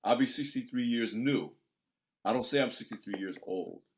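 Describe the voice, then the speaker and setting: A man's voice: medium pitch, moderate pace, a little hiss or hum. A man in his sixties hosting an interview, talking in an emphatic, preacher-like way as he encourages his listeners. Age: 60s. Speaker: interview host. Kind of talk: conversational interview.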